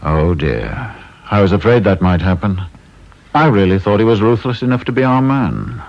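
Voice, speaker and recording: An actor playing a coolly calculating security chief in a radio drama, British accent, with a measured, dryly understated manner. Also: male voice, on the low side, expressive, creaky. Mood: regretful. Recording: dull radio play recording.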